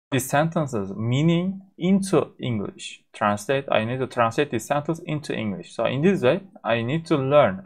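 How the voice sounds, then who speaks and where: Turkish-accented man explaining a software demo. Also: medium pitch. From Turkey. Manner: halting, frequent fillers and restarts. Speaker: course instructor.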